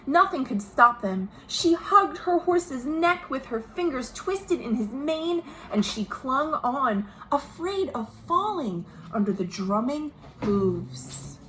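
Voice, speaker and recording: Woman, children's storytime narrator, unhurried pace, expressive read-aloud, background noise of a home recording. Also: high voice, poor audio. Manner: expressive storytelling with asides and questions to young listeners. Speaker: children's librarian hosting virtual storytime.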